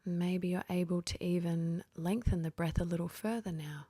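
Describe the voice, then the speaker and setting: A woman leading a guided sleep meditation in a gentle, whispery, half-voiced delivery, leaving long pauses between phrases. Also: on the low side, steady tone, husky, no echo.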